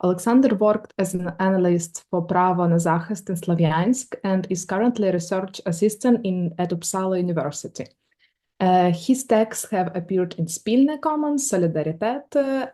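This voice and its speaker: A woman's voice: lowish voice, moderate pace, warm tone. A woman moderating a panel, introducing the speakers in a hesitant way with many fillers.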